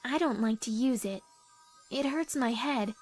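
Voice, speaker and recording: A woman's voice: on the high side, measured pace, a little mellow, soft background music, natural voice. A woman voicing a loyal, playful young mare with a casual manner in a cartoon-style comic dub. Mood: breezy, supportive. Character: breezy, loyal young adult mare, supportive sister-in-law.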